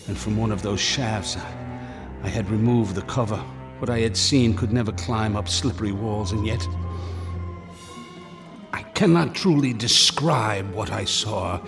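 An actor playing a grave, haunted gentleman investigator, narrating a gothic horror radio drama in formal, theatrical language with halting dramatic pauses. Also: fairly low pitch. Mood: shaken dread. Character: horror-struck gentleman investigator recounting a descent into madness. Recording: music behind the voice. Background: music.

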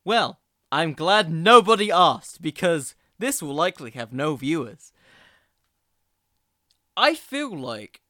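A man with a bright, forceful voice, pitched a little high, hosts a casual podcast. His sound is crisp and dry, and he rambles as he thinks aloud, often poking fun at himself. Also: no echo. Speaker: podcast host. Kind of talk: casual wrestling commentary.

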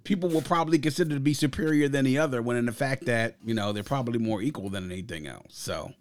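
A man's voice: mid-range voice, quick pace, dry recording. Enthusiastic male music podcast host, dry close sound. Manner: opinionated, emphatic, slangy. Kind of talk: casual enthusiastic album commentary.

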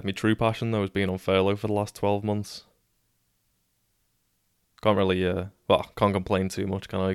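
A young man from Liverpool with a Scouse accent, hosting a casual solo podcast and telling a story in a monotone, sweary way, often tripping over his words. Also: low voice, clean tone, no room sound, clean studio sound. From Liverpool, England. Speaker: podcast host.

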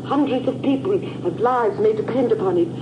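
Woman acting clandestine radio announcer, solemn, earnest, declamatory, fairly high voice, muffled noisy 1950s broadcast. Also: moderate pace, lightly acted. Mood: solemn, urgent. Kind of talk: formal propaganda broadcast in 1950s radio drama. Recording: muffled, echoing, noisy old radio transmission.

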